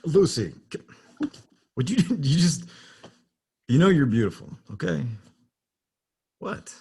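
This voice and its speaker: Gravelly, husky smitten guy played by an actor. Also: a little high, sing-song, takes it slowly, mellow, exaggerated voice. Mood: flustered. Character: teasing, awkwardly smitten man named Wyatt. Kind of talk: table read of a screenplay, romantic banter.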